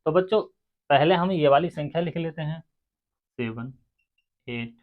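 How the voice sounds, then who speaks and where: A man's voice: fairly high pitch, measured pace. A man teaching maths step by step, addressing his students directly and counting sums out aloud. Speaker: maths teacher for exam aspirants. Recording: muffled, phone-like narrow sound.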